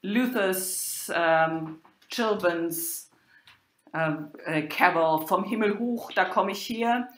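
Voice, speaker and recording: A woman university lecturer talking over slides, hesitant, with many fillers and pauses. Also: lowish voice, a little hiss or hum. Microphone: recorded voice-over for slides. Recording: roomy echo.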